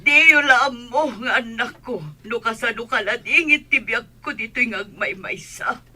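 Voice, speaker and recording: A woman's voice: a little high, normal speed, bright, forceful tone. An actress playing a lonely elderly mother in a radio drama, plaintive and tearful.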